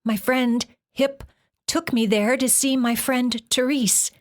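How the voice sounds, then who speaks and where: A woman's voice: fairly high pitch, steady tone, slow pace, pitched above the performer's own voice. A woman voicing a frightened, freezing young girl in a storybook, pleading shakily through chattering teeth. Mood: scared.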